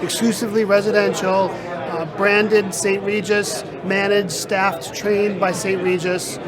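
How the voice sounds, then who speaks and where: A man speaking as a real estate sales director in an interview, with measured, polished sales talk, on a busy conference floor. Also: sits high, little pitch movement. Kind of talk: interview at a trade event. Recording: loud crowd chatter behind. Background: crowd chatter.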